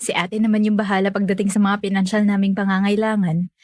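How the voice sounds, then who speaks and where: A woman's voice: medium pitch, flat delivery, fast, darkish tone, dry recording, natural voice. Woman acting a meek, dutiful young woman, dry sound. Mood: resigned. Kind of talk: natural radio drama dialogue.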